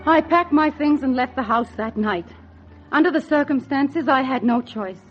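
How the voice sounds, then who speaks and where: An actress as high-voiced scheming secretary, vintage radio. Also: female voice, normal speed, loud music behind the voice, close to an everyday voice. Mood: resigned. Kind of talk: 1940s radio acting, first-person crime narration. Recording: dull vintage 1940s radio recording.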